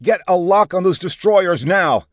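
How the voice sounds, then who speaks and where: A tense, commanding starship captain, acted by a male narrator, barking curt orders with authority. His voice is raised and lively, recorded dry for a sci-fi audiobook. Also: on the high side, brisk, no echo, voice pushed up, close to an everyday voice. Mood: stern. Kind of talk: science fiction audiobook character.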